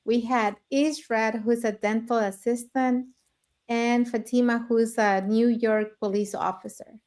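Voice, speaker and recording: Woman, fairly high voice, brisk, co-host. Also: fairly even intonation, darkish tone. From Mexico. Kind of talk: live-stream panel chat.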